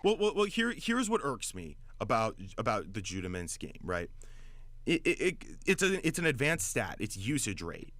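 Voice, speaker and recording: A man's voice: medium pitch, huge pitch swings, moderate pace, a bit bright, no echo. A man hosting sports talk radio, giving opinionated analysis emphatically and often repeating words as he gets going. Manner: emphatic, stammering repetitions. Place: radio studio.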